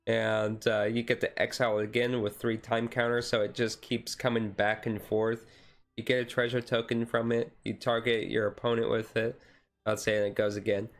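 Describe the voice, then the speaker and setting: A man streaming a casual ASMR-style card showcase, easygoing and halting as he reads out cards, his voice a little rough and taken gently. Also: lowish voice, flat delivery. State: voice slightly rough, being taken easy.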